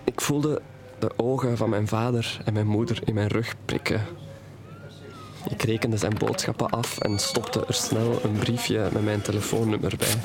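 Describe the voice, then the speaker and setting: An actor as low-voiced young man confiding forbidden love. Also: flat delivery, normal speed, crowd noise, close to an everyday voice. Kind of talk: audio drama monologue, intimate first-person storytelling. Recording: music playing behind the voice.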